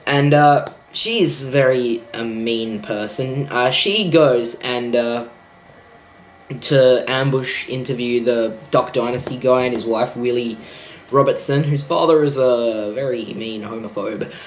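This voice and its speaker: A man's voice: medium pitch, fairly even intonation, a little slow, a little hiss or hum. A young man ranting about a film in a sarcastic, profane, exasperated way. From Australia. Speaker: vlogger. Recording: echoey room.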